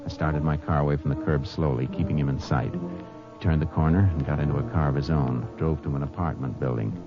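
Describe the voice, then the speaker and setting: An actor playing a calm, steady male Texas Ranger in a 1950s radio drama, laconic and even-tempered. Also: very deep voice, fairly quick, music in the background. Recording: noisy, dull old radio transcription.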